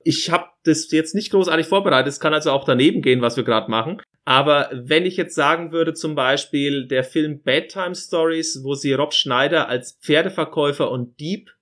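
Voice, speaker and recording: A man's voice: fairly high pitch, normal speed, few pauses, a bit bright. A man interviewing someone in a casual, chatty and discursive way, improvising as he goes.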